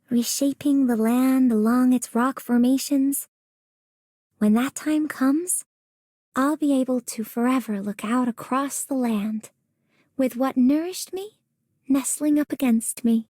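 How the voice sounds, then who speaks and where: A gentle bookish game girl, wistful, high soft voice, dry sound. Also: slow pace, no room sound. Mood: wistful, tender. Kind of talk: video game character monologue.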